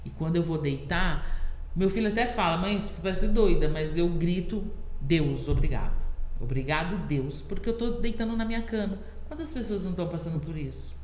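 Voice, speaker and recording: A woman's voice: on the low side, fairly quick, a little mellow, slight background noise. A Brazilian woman guest on a podcast, a health worker, talking earnestly and often checking in with "sabe?". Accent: Brazilian Portuguese. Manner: earnest, keeps asking "sabe?". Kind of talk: casual interview chat. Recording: echoey room with long reverb.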